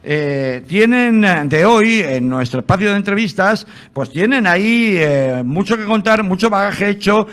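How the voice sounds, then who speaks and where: High-voiced male radio host, halting. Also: a bit bright. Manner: rambling, many hesitations and fillers. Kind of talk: radio magazine presenting. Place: radio studio. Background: music.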